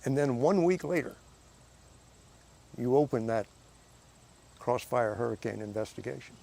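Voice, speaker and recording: A man giving emphatic commentary, slowing down with pauses. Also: medium pitch, animated, vocal fry, warm tone.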